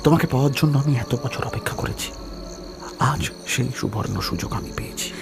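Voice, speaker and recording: A man's voice: mid-range voice, lively intonation, quick pace, creaky, over music. A man playing an obsessive, vengeful young servant and unhinged jilted lover in a melodramatic cartoon horror story. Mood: desperate, agitated.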